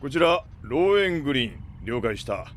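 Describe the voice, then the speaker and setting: An actor playing a gruff, grumbling freighter captain in a Japanese audio drama, with rough, slangy, irritable delivery. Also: male voice, mid-range voice, sing-song, moderate pace, a little hiss or hum, lightly acted. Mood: formal.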